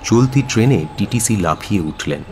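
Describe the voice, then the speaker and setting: A husky male narrator with a low voice and lively, swinging intonation. He tells a scripted story dramatically, with long reverb around him. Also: moderate pace, very noisy.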